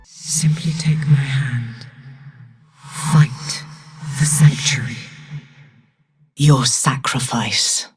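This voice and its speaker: A woman playing a solemn, commanding, darkly seductive demon queen in a video game, with a breathy, whispery delivery. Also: very deep voice, darkish tone, heavily acted. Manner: slow, solemn, portentous delivery. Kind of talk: video game villain voice lines.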